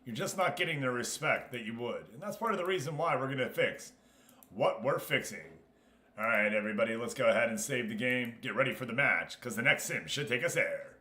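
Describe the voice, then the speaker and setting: Man, mid-pitched voice, casual gaming stream host, chatty and informal, addressing the group, roomy echo. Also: animated, brisk, bright, forceful tone. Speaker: livestream host running an online football management game league. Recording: echoey, roomy sound.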